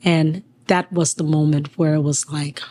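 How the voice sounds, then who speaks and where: A woman in her forties, originally from Liberia, speaks as an interview guest in a somewhat low voice. She talks earnestly and in measured phrases, pausing to gather her thoughts. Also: level tone, dark tone, slight background noise. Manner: earnest, measured, pauses mid-sentence. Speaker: guest, business owner. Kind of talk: reflective personal interview.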